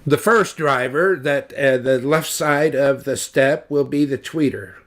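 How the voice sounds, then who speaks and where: An older man slowly explaining loudspeaker measurements, talking deliberately with fillers and restarts, recorded at home on a webcam. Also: medium pitch. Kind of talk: technical explanation with drawings. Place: home living room, indoors.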